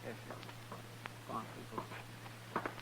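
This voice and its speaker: A man's voice: measured pace, bright, forceful tone. A man playing a loyal, simple frontier deputy in a radio western, with a rural drawl and a rambling, stammering folksy manner. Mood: obliging. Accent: American rural Southern or Western drawl. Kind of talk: 1950s radio western acting. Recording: noisy old radio recording.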